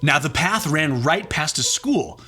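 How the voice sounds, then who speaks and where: Animated man reading a storybook aloud. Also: fairly high pitch, a little slow, faint music behind. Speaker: comedian reading children's books.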